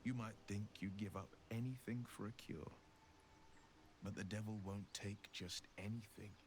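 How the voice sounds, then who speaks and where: A man playing an earnest hero in a video game, telling things in a measured, solemn way and wary of devils. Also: lowish voice, fairly even intonation, a little hiss or hum, lightly acted. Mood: grave warning. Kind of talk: video game dialogue acting.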